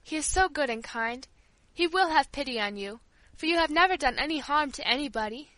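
A woman's voice: sits high, lively intonation, fairly quick, a bit bright, dry recording. A woman voicing a kindly, grieving old female servant who tells her tale tenderly in an audiobook. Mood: gentle, recounting. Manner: tender, fond, rambling storyteller. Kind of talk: audiobook character dialogue.

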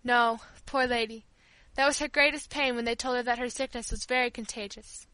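A woman's voice: a little high, steady tone, brisk, sharp tone, smooth, no echo. A woman voicing a kindly, grieving old female servant who tells her tale tenderly in an audiobook. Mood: sorrowful, tender. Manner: tender, fond, rambling storyteller. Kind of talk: audiobook character dialogue.

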